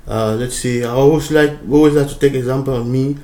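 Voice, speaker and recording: A man from Cameroon lectures through a diagram in a halting, unscripted way, full of fillers and restarts. His pitch is mid-range for a man. The room gives his voice a noticeable echo. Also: fairly even intonation, darkish tone. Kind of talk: informal lecture over a diagram.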